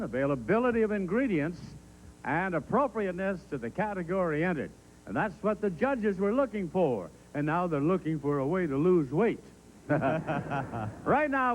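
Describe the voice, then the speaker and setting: Announcer man, high voice, wry joking delivery, faint hum in the audio. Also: low-quality recording. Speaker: show announcer. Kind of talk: comic stage banter and introductions. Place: television stage.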